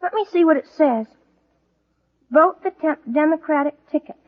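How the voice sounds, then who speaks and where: A woman's voice: sits high, moderate pace, natural voice. An actress playing a coy, well-mannered teenage girl in 1940s radio comedy style. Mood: piqued. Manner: coy, polite. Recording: muffled vintage radio recording.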